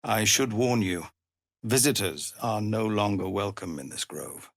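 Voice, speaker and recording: An actor playing a weary, honourable tiefling ex-paladin leading refugees in a fantasy game, husky and breathy, with grave, measured, formal delivery. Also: male voice, on the low side, animated, fairly bright tone, no room sound, studio quality. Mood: grim, guarded. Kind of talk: dramatic fantasy game voice acting.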